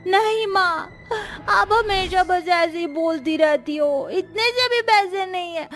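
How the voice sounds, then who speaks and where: A woman's voice: very high-pitched, slow, fairly bright tone, soft background music, full character voice. A woman voicing a stubborn, whiny young boy in a cartoon story, pleading in a childish way. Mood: tearful sulking. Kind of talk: Hindi moral story cartoon dubbing.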